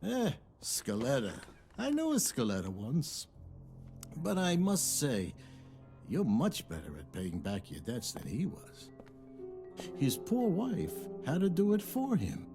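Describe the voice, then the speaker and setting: A sly, middle-aged loan shark in a game cutscene. He talks very slowly, mocking and theatrical, with his pitch swooping all over the place. His voice is mellow and a little high, over soft music. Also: wildly swooping pitch, heavily acted. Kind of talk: video game cutscene acting.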